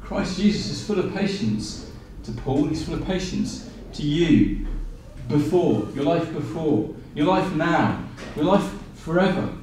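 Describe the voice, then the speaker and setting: A man in his forties preaching a sermon with animated gestures and frequent fillers and restarts. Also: a little high, unhurried, slight background noise. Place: small room with blinds, indoors. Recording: very echoey room, from a distance.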